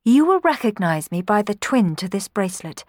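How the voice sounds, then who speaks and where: A woman's voice: mid-range voice, big pitch swings, normal speed, darkish tone, no room sound, studio quality, natural voice. A woman storyteller playing a kind, modest young kitchen maid who speaks firmly and sincerely. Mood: firm, tender. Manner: firm, sincere.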